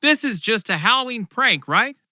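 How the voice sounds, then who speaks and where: A man's voice: extremely high pitch, animated, normal speed, sharp tone, no echo, clean studio sound, pitched above the performer's own voice, full character voice. A man voicing a nervous, urgent teenage girl in a spooky audiobook story. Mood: uneasy. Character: nervous teenage girl exploring a haunted cemetery.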